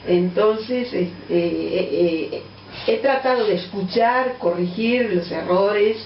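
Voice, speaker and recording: A middle-aged woman from Angola with a Portuguese-tinged accent, a guest answering interview questions thoughtfully, with many pauses and fillers. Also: mid-range voice, slight background noise. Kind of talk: radio interview. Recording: distant, roomy, dull lo-fi radio sound.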